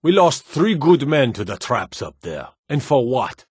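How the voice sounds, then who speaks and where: A man's voice: mid-range voice, big pitch swings, unhurried, darkish tone, breathy edge, no room sound, studio quality. A man playing a stern, wary medieval city guard in a fantasy video game, with an authoritative, curt way of speaking. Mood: weary, grumbling. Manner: authoritative, curt, clipped commands.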